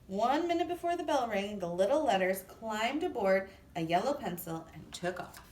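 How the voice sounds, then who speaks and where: A woman reading a children's story aloud in an expressive, deliberate way. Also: mid-range voice. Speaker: storybook reader. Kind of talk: children's read-aloud narration. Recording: echoey room, distant mic, faint noise.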